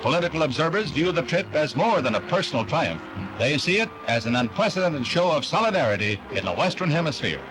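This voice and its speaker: A man's voice: fairly high pitch, big pitch swings, fairly quick, low-quality recording. An American man reading scripted 1960s newsreel narration in a declamatory announcer's delivery, on a vintage soundtrack. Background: newsreel music.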